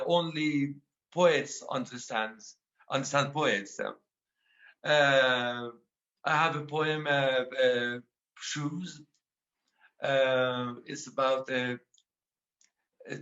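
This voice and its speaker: Slow, halting Afghan poet with an Iranian accent. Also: male voice, medium pitch, fairly bright tone, lo-fi sound. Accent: Iranian-accented English. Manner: halting, many filler pauses. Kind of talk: panel talk about his life and poetry.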